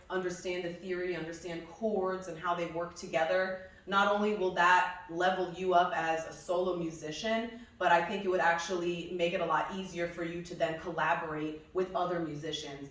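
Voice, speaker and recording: A young woman from New Jersey whose first language is Hebrew, chatting casually in an interview about her music, laughing often and filling pauses with ums. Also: fairly low pitch. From New Jersey, USA. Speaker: singer being interviewed. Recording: echoey room, voice from a distance.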